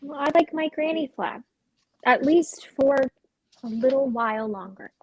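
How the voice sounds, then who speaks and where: A woman's voice: high voice, measured pace, slight background noise, low-quality recording. A woman playing an independent, guarded, sentimental girlfriend in a naturalistic script reading, reserved in manner. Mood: mildly defensive.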